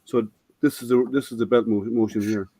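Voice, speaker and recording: A man's voice: mid-range voice, fairly even intonation, mellow, no room sound. Older guy live streaming his printer, kinda scratchy voice, lots of um and uh, dry close sound. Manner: halting, many restarts and fillers while explaining. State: slightly scratchy voice. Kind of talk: casual live-stream chat.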